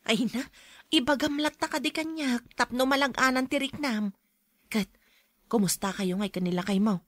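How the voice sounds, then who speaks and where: Gossipy, highish-voiced Ilocano friend, played by a woman. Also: moderate pace, no room sound, close to an everyday voice. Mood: prying, insinuating. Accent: Filipino, Ilocano. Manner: prying, insinuating. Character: gossipy, meddling friend posing as concerned. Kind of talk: Ilocano radio drama acting.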